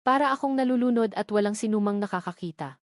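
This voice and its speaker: A woman's voice: medium pitch, fast talker, dry recording, studio quality, close to an everyday voice. Woman voicing a despairing, lonely young mother. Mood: despairing, pleading.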